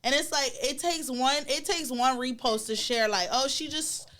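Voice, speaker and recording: A woman with a slightly high voice and an American accent tinged with Bahamian. She co-hosts a casual podcast and talks candidly, in loose, slangy phrases, saying exactly what she thinks. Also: moderate pace, fairly bright tone. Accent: Bahamian-influenced American. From United States. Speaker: podcast co-host. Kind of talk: casual chat.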